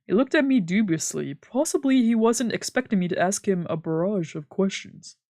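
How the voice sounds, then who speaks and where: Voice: mid-range voice, animated, normal speed, a little mellow, no room sound, clean studio sound. A streamer reading visual novel text aloud in a casual way, with sweary asides. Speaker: streamer doing a visual novel let's play. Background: game music.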